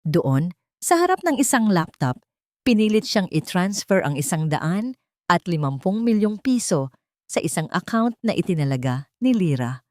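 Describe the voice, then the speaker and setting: A woman's voice: fairly low pitch, big pitch swings, normal speed, darkish tone, dry recording. A woman reading scripted narration in a dramatic storytelling style.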